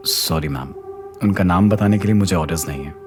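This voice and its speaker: A man's voice: low voice, fairly quick, over music, lightly acted. A man acting a deferential, discreet messenger for a rich boss in an audio drama. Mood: polite.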